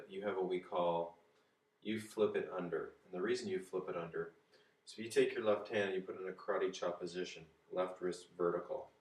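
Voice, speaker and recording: Hoarse deep-voiced man coaching from afar. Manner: easygoing, demonstrating swing positions while talking. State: hoarse from a cold. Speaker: golf coach. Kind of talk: casual video tips. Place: home kitchen and dining room, indoors. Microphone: far camera. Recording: echoey room, voice from a distance.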